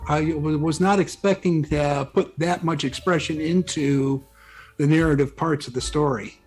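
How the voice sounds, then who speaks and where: A man speaking as a guest in casual conversation, halting and repeating words as he thinks. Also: on the high side, steady tone, darkish tone, faint music behind. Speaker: guest author.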